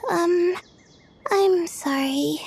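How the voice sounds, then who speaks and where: A woman's voice: very high voice, drawn-out words, gravelly, a little mellow, exaggerated voice. A woman voicing a drowsy, timid young woman student who sounds exhausted and weepy, stammering hesitantly. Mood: sleepy. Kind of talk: game cutscene dialogue.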